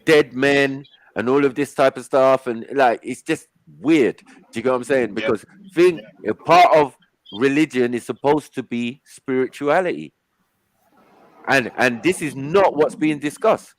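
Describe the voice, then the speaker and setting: A man's voice: mid-range voice, measured pace, poor audio. A man hosting a heated casual panel discussion, who talks over others and keeps saying "hold on" to keep the floor. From Africa. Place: online call.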